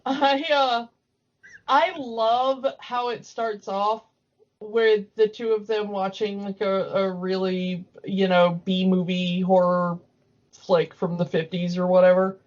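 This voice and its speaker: A woman in a casual, discursive fan chat about cartoons, talking in an animated, opinionated way. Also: mid-range voice, slow, highs cut off. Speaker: podcast co-host.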